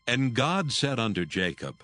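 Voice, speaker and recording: A man reading scripted scripture narration in a measured, solemn manner. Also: mid-range voice, sing-song, fairly bright tone, faint music behind.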